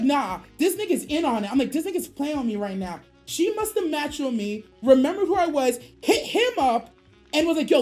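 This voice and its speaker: High-pitched, animated woman storyteller. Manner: profane, slangy, acts out dialogue. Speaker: streamer.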